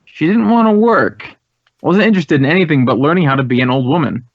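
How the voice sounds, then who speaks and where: A man's voice: fairly high pitch, brisk, no room sound. An American man playing an earnest, talkative drama school head in a radio drama, speaking with emphatic, reflective phrasing. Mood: emphatic, admiring. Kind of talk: 1950s radio drama dialogue. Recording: dry, dull vintage radio recording.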